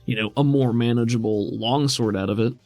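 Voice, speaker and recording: A man's voice: medium pitch, fairly quick, a little mellow, no echo, faint music behind. A man acting as game master, narrating and explaining rules in a casual, conversational way.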